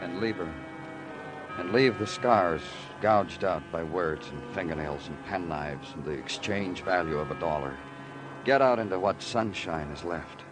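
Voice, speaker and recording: Sardonic police detective, low voice, terse and somber, old radio crime drama, musical underscore. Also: male voice, measured pace, over music. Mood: somber, brooding. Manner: terse, clipped questioning and somber poetic narration. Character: hard-boiled male police detective, brooding and sardonic narrator.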